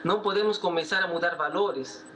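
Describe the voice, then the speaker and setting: A man's voice: sits high, a little fast, bright, forceful tone, slight background noise, low-quality recording. A middle-aged man from Peru with a Peruvian Spanish accent, a guest economist speaking hesitantly on a discussion panel. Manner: hesitant, restarts phrases, thoughtful.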